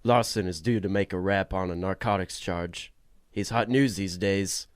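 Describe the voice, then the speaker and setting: Low-voiced, folksy newsroom fellow played by a man. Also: moderate pace, clear, resonant tone, no room sound, clean studio sound, close to an everyday voice. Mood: chatty. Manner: folksy, informal. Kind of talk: old-time radio drama acting.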